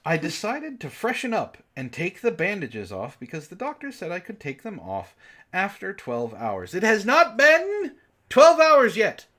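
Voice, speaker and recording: A man's voice: high-pitched, wildly swooping pitch, measured pace, pushed and bright. A man hosting a comic book club, reading a story aloud and breaking off with exasperated, incredulous commentary. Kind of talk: reading fan fiction aloud with mocking commentary.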